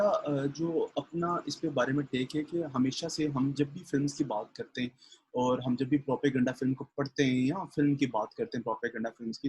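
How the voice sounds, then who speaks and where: A man's voice: mid-range voice, a little mellow, husky. A Pakistani man hosting a casual film discussion in an earnest, wordy way, circling back to rephrase and mixing in English. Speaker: discussion host.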